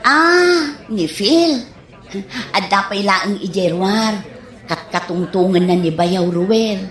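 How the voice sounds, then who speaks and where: A woman's voice: mid-range voice, lively intonation, takes it slowly. A woman playing a patient, practical grown daughter in a comedy radio drama. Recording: roomy echo.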